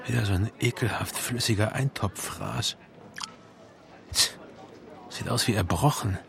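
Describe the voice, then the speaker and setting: An actor in a radio play as a casual, grumbling canteen diner. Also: male voice, fairly low pitch, a little slow, vocal fry, a crowd in the background. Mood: disgusted. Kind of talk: radio play dialogue acting.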